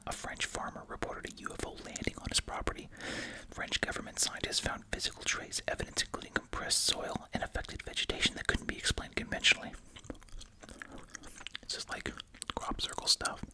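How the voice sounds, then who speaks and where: An ASMR host speaking in a breathy, unvoiced whisper, reading aloud and musing casually. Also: a little fast, low-quality recording.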